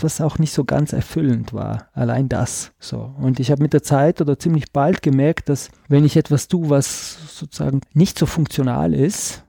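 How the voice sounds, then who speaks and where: A man's voice: mid-range voice, vocal fry, warm tone, no echo, studio quality. A man from South Tyrol with a South Tyrolean German accent, an artist talking reflectively as a podcast guest, searching for words with many fillers. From South Tyrol, Italy. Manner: searching, full of fillers and restarts, thoughtful. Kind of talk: reflective conversational interview.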